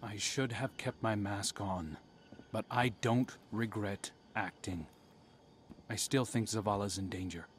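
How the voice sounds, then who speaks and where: Man playing an earnest, lowish-voiced undercover agent. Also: unhurried, a little hiss or hum, natural voice. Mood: defiant, worried. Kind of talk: dramatic video game dialogue. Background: music.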